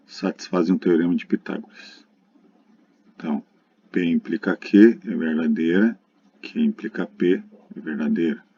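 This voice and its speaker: A man's voice: sits low, mellow, breathy edge. A Brazilian man giving a recorded math lesson in a didactic, explanatory way, addressing students directly. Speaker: lecturer. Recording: dull lo-fi muffled recording.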